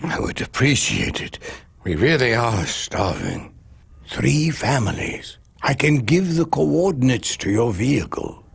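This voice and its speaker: An actor playing a devout, weary leader of starving outcasts in a video game, speaking in a solemn, preacherly, measured way. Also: male voice, mid-range voice, sing-song, gravelly, breathy edge, no room sound, full character voice. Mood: grave, gentle. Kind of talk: dramatic video game dialogue.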